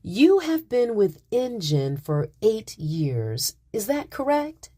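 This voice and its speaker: An actress playing an icy, formal corporate manager in an audio drama, stern and deliberate. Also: female voice, on the high side, very animated, warm tone, exaggerated voice.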